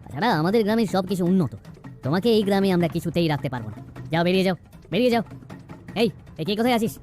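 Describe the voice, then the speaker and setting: A man's voice: high-pitched, lively intonation, rapid-fire, a little mellow, faint music behind. A man voicing a haughty, hostile villager in a cartoon, curt and scornful. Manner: curt, scornful, repetitive orders. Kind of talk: cartoon voice acting.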